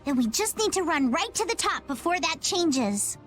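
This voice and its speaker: A woman's voice: extremely high pitch, big pitch swings, normal speed, faint music behind. An actress voicing a plucky, earnest and slightly bossy young girl in a video game. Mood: eager. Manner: declarative, spirited.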